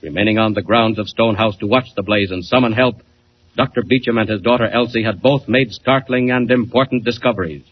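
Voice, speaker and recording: A man's voice: on the low side, fairly quick. A man narrating a 1940s radio serial as announcer, with a dramatic, declamatory delivery. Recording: muffled noisy old radio recording.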